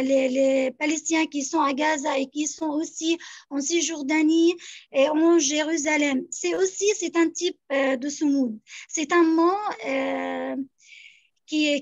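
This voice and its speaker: A woman's voice: high-pitched, fairly even intonation, measured pace, no room sound. Hesitant young woman on a video call. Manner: hesitant, many fillers and restarts. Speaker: young activist speaking from Gaza. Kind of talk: answering a question on a video call. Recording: dull lo-fi video call audio.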